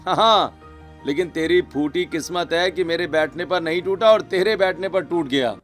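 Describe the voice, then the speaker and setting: A man's voice: on the high side, moderate pace, a bit bright, faint music behind, lightly acted. A man voicing a wry, teasing young bridegroom and older brother in a cartoon story. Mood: scolding. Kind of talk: Hindi cartoon story acting.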